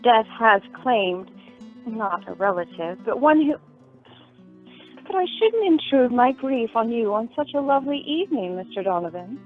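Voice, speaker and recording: Lady playing prim sad young woman, radio drama, highish swoopy melodramatic voice, tinny line. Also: very animated, normal speed. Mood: mournful. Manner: formal, melodramatic, plaintive. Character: prim, mournful young lady in veil, demure. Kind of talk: radio play acting. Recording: tinny phone line with music behind.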